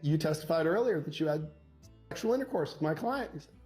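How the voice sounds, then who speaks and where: Animated male storyteller over music. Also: fairly high pitch. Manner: punchy, acts out quoted dialogue with dramatic pauses. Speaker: divorce lawyer telling an anecdote.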